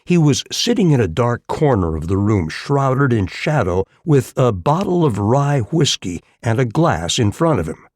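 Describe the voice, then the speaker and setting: A man's voice: mid-range voice, sing-song, measured pace, hardly any pauses, a little mellow. A man reading a western novel as scripted audiobook narration.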